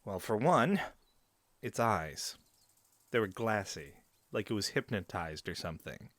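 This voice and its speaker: A man plays a young male werewolf in an audio roleplay, the leader's earnest son. His delivery is very animated and expressive, and the recording is close and dry. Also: fairly low pitch, unhurried, no room sound. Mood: urgent, earnest.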